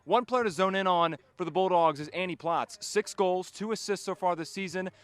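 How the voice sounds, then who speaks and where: A man's voice: high-pitched, normal speed, fairly bright tone, clear, resonant tone, no echo. A young man working as a sports reporter, delivering a prepared stand-up report.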